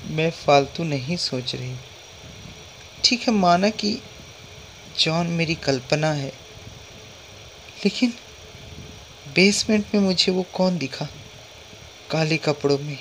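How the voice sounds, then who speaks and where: A woman acting a frightened young mother in a dubbed horror drama, talking anxiously. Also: deep voice. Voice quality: fearful, anxious. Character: frightened young mother fearing for her daughter. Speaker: worried mother. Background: music.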